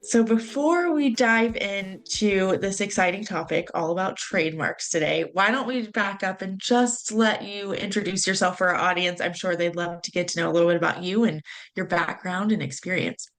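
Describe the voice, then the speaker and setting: Enthusiastic female podcast host, mid pitch, encouraging interviewer. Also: moderate pace, a bit bright.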